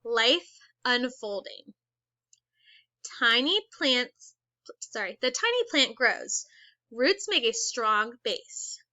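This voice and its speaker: Woman teacher, bright and a bit high, reads a lesson aloud slowly and asks kids questions; dry, slightly dull recording. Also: sing-song, dry recording. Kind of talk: read-aloud lesson for children.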